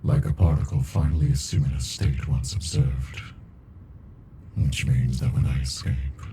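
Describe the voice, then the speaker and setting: A man voicing an ancient captive alien monster in an ASMR roleplay, deep and gravelly, brooding, intimate and possessively smitten with a human. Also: little pitch movement, dark tone, slight background noise. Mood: smug, infatuated. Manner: slow, brooding, deliberate, intimate.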